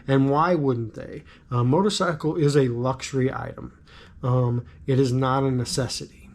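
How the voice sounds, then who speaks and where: A middle-aged man talks casually to camera in his vlog, thinking aloud with plenty of ums. His voice is raspy from a cold, set at an ordinary middle pitch. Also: steady tone, normal speed, darkish tone, slight background noise. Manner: musing, frequent ums. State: has a cold. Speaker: vlogger.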